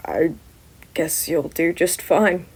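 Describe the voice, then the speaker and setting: A woman voicing an exhausted, irritable male hero teacher in a gruff, blunt, deadpan way for an audio story. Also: on the low side, takes it slowly, pitched below the performer's own voice, exaggerated voice. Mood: weary, muttering.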